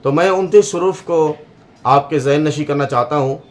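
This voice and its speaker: A man giving a slow religious lesson on pronouncing letters, repeating each sound to demonstrate it. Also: fairly high pitch. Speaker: Quran teacher.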